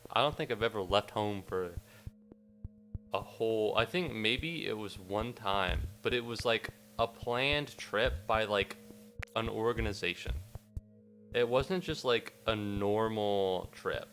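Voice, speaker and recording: A young man from the United States talks to his livestream chat in a rambling, self-mocking way while gaming. His voice sits fairly low and still sounds a little sniffly from a recent cold. Faint game music plays quietly behind him. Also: slow pace. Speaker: game streamer.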